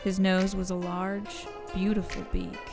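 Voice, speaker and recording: A woman's voice: mid-range voice, flat delivery, a little slow, gravelly. A woman narrator reading a scripted audio story. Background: music.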